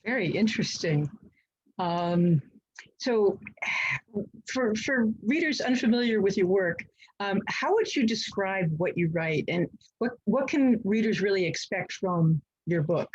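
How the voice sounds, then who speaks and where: Husky-voiced woman interviewer, muffled audio. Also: medium pitch, animated, unhurried. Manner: friendly, hesitant with fillers. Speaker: bookstore interviewer. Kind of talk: interview questions.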